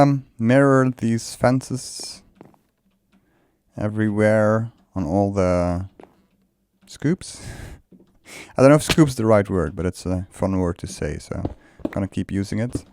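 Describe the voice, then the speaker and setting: A man's voice: fairly low pitch, a little mellow. A man whose first language is Dutch, casually commenting while he builds in a game, musing aloud and laughing often. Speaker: let's play creator.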